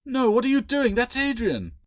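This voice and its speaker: Man voicing an anxious girl, pitched well up. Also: wildly swooping pitch, moderate pace, no echo, clean studio sound, full character voice. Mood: distraught. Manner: pleading, insistent questioning. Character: anxious, defiant young orphan girl.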